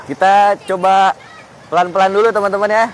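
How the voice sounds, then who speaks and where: A man's voice: sits high, level tone, fairly quick, a faint crowd, a little hiss or hum. A young man presenting an on-location vehicle test on a flooded street, chatty and full of fillers. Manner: chatty, keeps addressing viewers as friends, many fillers. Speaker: automotive news presenter. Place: outdoors on a flooded residential street.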